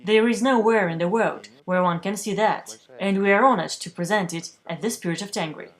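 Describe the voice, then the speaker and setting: A woman reading a translated news voice-over at a measured, steady pace, recorded in a booth. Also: medium pitch. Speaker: voice-over interpreter for a news feature.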